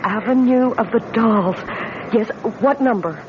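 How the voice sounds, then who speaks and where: An actress playing a plucky, earnest wife with determined manner in an old radio thriller. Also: female voice, fairly high pitch, a little slow, lightly acted. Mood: urgent. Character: plucky American wife caught in a hijacking. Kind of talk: vintage radio drama acting. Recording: dull lo-fi radio recording, faint noise.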